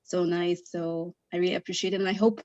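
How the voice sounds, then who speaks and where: A woman's voice: fairly low pitch, level tone, a little fast, darkish tone, dry recording, muffled recording. A woman in the audience chatting on a video call, hesitant, with many fillers. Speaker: audience member. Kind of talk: casual chat on a video call.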